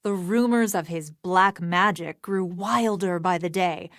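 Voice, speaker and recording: A woman's voice: lowish voice, measured pace, a bit bright, no echo, studio quality. An actress playing a formal, scholarly woman historian in a video game, earnest, precise and bookish in manner. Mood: earnest, lecturing.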